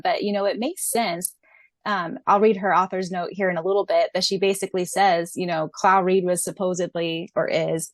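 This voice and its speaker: A woman's voice: on the low side, no echo. A young adult woman co-hosting a podcast, talking casually in a fan discussion. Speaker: podcast co-host and audiobook narrator.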